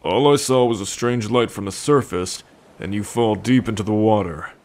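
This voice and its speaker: A man's voice: fairly low pitch, a little mellow, lightly acted. A man playing a wary, curious merman in an ASMR roleplay, speaking hesitantly and full of questions to the listener. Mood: puzzled, suspicious. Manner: slow, hesitant, questioning delivery. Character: wary, curious young merman, gruff rescuer.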